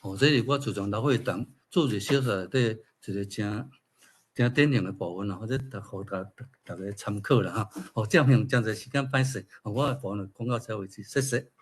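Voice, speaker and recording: Husky Taiwanese man reading aloud. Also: on the low side, brisk. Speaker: featured speaker, writer. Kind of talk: reading own fiction aloud with informal remarks.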